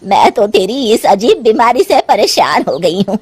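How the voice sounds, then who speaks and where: A woman's voice: on the high side, sing-song, moderate pace, darkish tone, breathy edge. A woman voicing an exasperated, scheming older mother-in-law in a cartoon story, with a scolding, theatrical delivery. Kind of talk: Hindi cartoon moral story acting.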